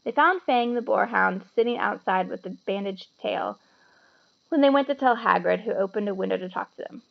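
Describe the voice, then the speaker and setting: A woman reading a story aloud to children in an animated, explanatory way, with chatty asides. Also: mid-range voice, moderate pace, vocal fry, lo-fi sound.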